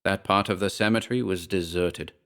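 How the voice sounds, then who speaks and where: A man narrating a scripted horror story in a measured, dramatic storytelling manner. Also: low voice, steady tone, fairly bright tone.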